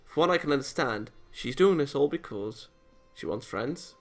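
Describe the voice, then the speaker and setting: A man's voice: mid-range voice, animated, moderate pace, creaky. A man hosting a let's play, reading a visual novel aloud with casual comments and often stumbling over lines. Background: game music.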